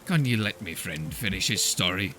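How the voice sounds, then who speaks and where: A man voicing a proud, gruff dwarf chief miner who talks in a rustic dialect, in a fantasy audiobook. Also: sits low, lively intonation, normal speed. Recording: loud music under the voice.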